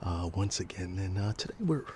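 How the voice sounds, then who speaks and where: A man's voice: low-pitched, animated, fairly quick, darkish tone, a little hiss or hum. A man giving a rambling, motivational ASMR talk in an earnest, encouraging way, repeating phrases for emphasis. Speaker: ASMR video host.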